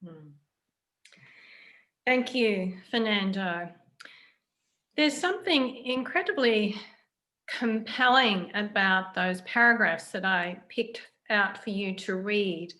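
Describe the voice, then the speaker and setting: A woman interviewer chatting reflectively, pausing as she searches for her words. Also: medium pitch, bright, forceful tone. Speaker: interviewer, writer.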